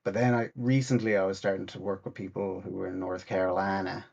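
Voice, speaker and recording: A man's voice: low voice, little pitch movement, a little fast, gravelly, a little mellow, breathy edge, lo-fi sound. A man in his thirties with an Irish accent, a comedian chatting as a podcast guest, rambling with many restarts. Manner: rambling, many restarts, acts out quoted voices. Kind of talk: casual interview chat.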